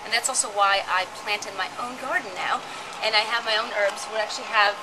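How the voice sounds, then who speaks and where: A bubbly young woman hosts a cooking vlog, eager and chatty as she introduces her guest. The sound is recorded on camera from a few feet away. Steady outdoor noise sits under her voice. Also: medium pitch, fairly quick. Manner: bubbly, enthusiastic. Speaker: host. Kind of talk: casual cooking vlog chat. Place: sunny garden patio, then home kitchen.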